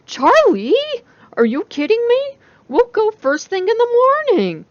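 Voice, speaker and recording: Woman doing a excitable young roommate girl, high and gushy, dramatic, audiobook style, bit muffled. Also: measured pace, no room sound, exaggerated voice. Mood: delighted. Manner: gushing, exaggerated.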